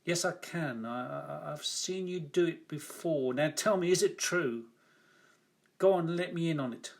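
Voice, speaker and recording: A man voicing an excitable, awestruck shopkeeper in a read-aloud story, insistent and pleading, stammering and repeating himself. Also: fairly high pitch, unhurried, creaky. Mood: eager, insistent.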